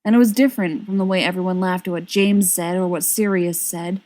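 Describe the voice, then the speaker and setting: A woman reading a story aloud as an expressive narrator. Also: lowish voice, brisk. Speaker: volunteer audiobook reader. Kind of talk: expressive scripted fiction narration.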